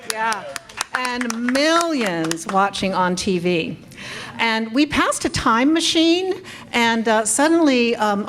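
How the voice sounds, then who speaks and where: A woman hosting a convention panel as parade coordinator, cheerful and chatty, laughing often. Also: on the high side. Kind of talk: upbeat live-stream hosting. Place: convention event room.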